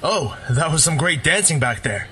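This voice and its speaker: A man's voice: on the high side, moderate pace. A man voicing an easygoing, friendly drifter and former warrior. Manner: relaxed, friendly, wry.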